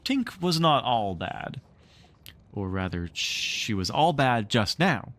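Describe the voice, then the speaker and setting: A man narrating a storybook read-along with expressive, theatrical storytelling. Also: medium pitch, slow. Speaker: streamer reading a book aloud.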